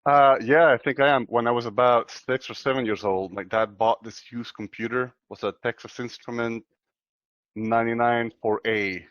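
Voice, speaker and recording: A man from Chile in his thirties, talking in a casual interview as the guest, soft-spoken and hesitant with many fillers. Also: mid-range voice, fairly even intonation, moderate pace, no echo, lo-fi sound. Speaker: guest computer scientist.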